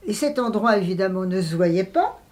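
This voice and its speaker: An elderly woman, speaking reflectively in an interview, often pausing to search for words. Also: medium pitch, animated, a little mellow. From France. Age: 80s. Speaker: interviewee, writer and translator.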